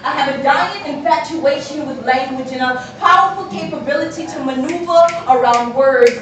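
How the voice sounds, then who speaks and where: A woman's voice: high voice, moderate pace. A young woman performing spoken word poetry live, with rhythmic, emphatic delivery and easy banter with the crowd. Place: art gallery, indoors, live audience. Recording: echoey hall, distant and noisy.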